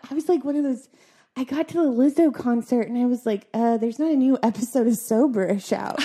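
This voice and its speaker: A young woman with a squeaky voice, chatting on a podcast and telling stories with frequent giggles. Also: mellow, husky. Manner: giggly, chatty storyteller, laughs often. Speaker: podcast guest. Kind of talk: casual chat.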